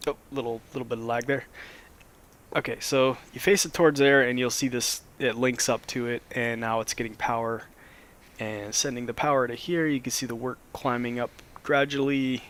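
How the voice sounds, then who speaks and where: A man, a little hoarse after a cold, casually explaining a modded game as he plays, with plenty of ums and restarts. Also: medium pitch, moderate pace. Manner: relaxed, rambling, lots of fillers. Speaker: Minecraft let's play host.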